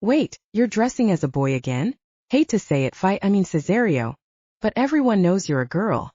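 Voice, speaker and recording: A woman's voice: mid-range voice, very animated, normal speed, mellow, no room sound. A woman voicing a teasing, playful young man who jokes and banters with his friend in an audiobook. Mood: amused. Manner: joking, bantering. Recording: dull lo-fi dry recording.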